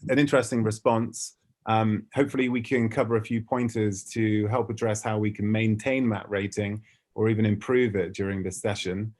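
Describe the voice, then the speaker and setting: A man's voice: mid-range voice, a little mellow. A British man giving a prepared business webinar presentation in a polished, articulate corporate manner. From United Kingdom. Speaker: conference speaker, ad tech company representative.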